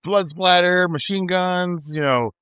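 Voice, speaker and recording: A man's voice: high-pitched, a little slow, a bit bright, clear, resonant tone, dry recording. A man from Texas, a convention attendee, talking casually and swearing freely about horror films.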